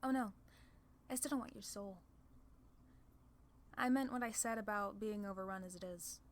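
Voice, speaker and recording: A woman's voice: medium pitch, normal speed, natural voice. A woman playing a haughty, sly female demon lord in an ASMR roleplay, condescending and playfully theatrical. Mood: businesslike, amused.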